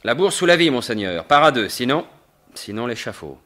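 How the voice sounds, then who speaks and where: An actor playing a suave, mocking gentleman thief in a 1950s-style French radio mystery, with a sardonic, assured, theatrical delivery. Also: medium pitch, big pitch swings, normal speed. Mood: commanding, menacing.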